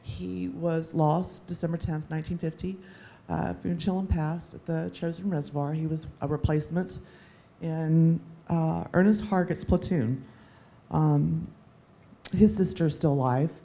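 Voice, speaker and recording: Low gravelly lady, just laying out the facts, kinda mellow. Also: fairly even intonation, measured pace. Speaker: family member of a missing Marine. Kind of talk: open-mic remarks.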